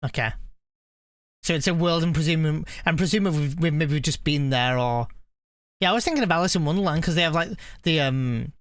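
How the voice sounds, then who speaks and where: Higher-pitched man, clean dry sound. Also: a little fast, fairly bright tone, no room sound. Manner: rambling, musing aloud. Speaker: game streamer. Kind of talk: casual live-stream chat and reaction.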